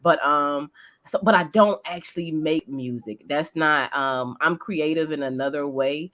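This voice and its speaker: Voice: medium pitch, a little slow, pushed and bright, lo-fi sound. A podcast host talking casually and informally, with some swearing.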